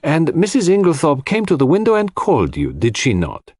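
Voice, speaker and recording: A man plays a brisk, commanding detective in an audiobook mystery. His pitch is raised above a narrator's and swings widely as he questions precisely and formally, unshakeably sure of himself. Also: huge pitch swings, a little mellow, no room sound, clean studio sound. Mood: sharp, probing.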